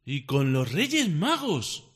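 An actor playing a gruff, distrustful creditor in a futuristic radio drama, curt and scolding, with an accent from Spain. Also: male voice, fairly high pitch, wildly swooping pitch, slow, a bit bright, exaggerated voice. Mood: suspicious, scolding. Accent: Spanish from Spain. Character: gruff, distrustful father and hard-nosed creditor.

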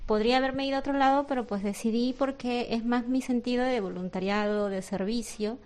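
A young woman with a Peruvian accent, her voice pitched fairly high. She answers as a volunteer, shy and searching for words. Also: steady tone, brisk, darkish tone. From Peru.

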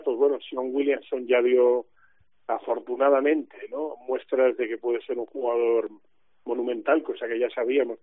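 A man's voice: medium pitch, dry recording. A man discussing basketball casually as an analyst, heard over a telephone. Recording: dry, tinny telephone line.

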